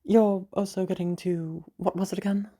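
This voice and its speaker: A woman playing a condescending rich businesswoman mother who is polite but passive-aggressive, with haughty, falsely gracious pauses, in an audio roleplay. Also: mid-range voice, fairly even intonation, mellow, pitched above the performer's own voice, lightly acted.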